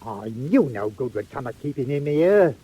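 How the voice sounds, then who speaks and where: An actor playing a pompous, bad-tempered, cruel workhouse master in a 1940s audio drama, with a rough Cockney-flavoured English accent. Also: male voice, mid-range voice, sing-song, normal speed, warm tone, slight background noise, natural voice, poor audio. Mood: angry, threatening. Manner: pompous, blustering, exclaiming.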